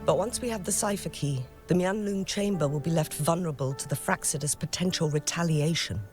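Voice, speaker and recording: A woman's voice: fairly low pitch, brisk, a little mellow, lightly acted. A woman voicing an earnest, loyal guard officer in a video game, speaking formally and solemnly. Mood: grave, briefing. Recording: game dialogue with music behind.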